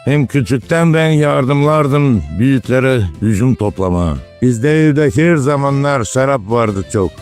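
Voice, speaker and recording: A man's voice: mid-range voice, expressive, a little slow, hardly any pauses, a little mellow, natural voice. A man voicing a wise, folksy village grandfather in a cartoon, explaining old ways. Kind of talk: cartoon character dialogue. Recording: music behind the dialogue.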